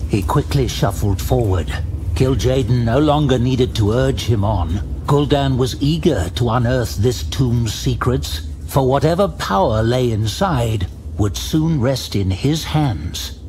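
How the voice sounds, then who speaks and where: A man giving dramatic scripted narration of a fantasy tale in a measured, grave storytelling manner. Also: medium pitch, lively intonation, a little mellow, slight background noise. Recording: music behind the voice.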